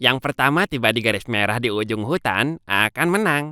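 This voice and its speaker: A man's voice: medium pitch, expressive, fairly quick, pushed and bright. A man voicing a cocky boastful cartoon hare. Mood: impatient. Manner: cocky, mocking, lazy boasting. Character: boastful arrogant male hare. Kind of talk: dubbed cartoon voice acting. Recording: faint music behind. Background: faint background music.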